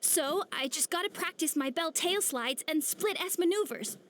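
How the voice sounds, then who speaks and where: A woman voicing an earnest, excitable young girl training to fly, over game music. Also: very high voice, normal speed, slight background noise. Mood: eager.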